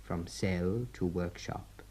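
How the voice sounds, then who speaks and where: A grave narrator for a radio prison drama. His voice is low and very mellow, and he reads the scripted lines slowly and somberly. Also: breathy edge, full character voice. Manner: grave, measured.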